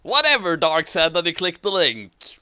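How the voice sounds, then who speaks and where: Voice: mid-range voice, sing-song, normal speed, sharp tone, smooth, no echo, studio quality. A host doing a comic dramatic reading of fan fiction with casual banter, theatrical and prone to stumbling and repeating phrases.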